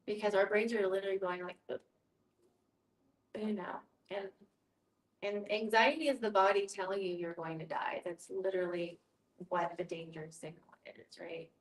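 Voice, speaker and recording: A woman's voice: mid-range voice, steady tone, normal speed. A woman speaking casually and reflectively as a facilitator in a group discussion, often checking in with her listeners. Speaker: guest facilitator, hospice social worker. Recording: echoey room, distant from mic.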